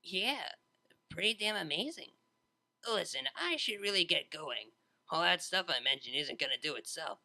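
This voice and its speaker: A woman playing a laid-back young unicorn DJ in an audio story, talking in a casual, halting, awkward way. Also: on the low side, expressive, creaky, bright, forceful tone, full character voice.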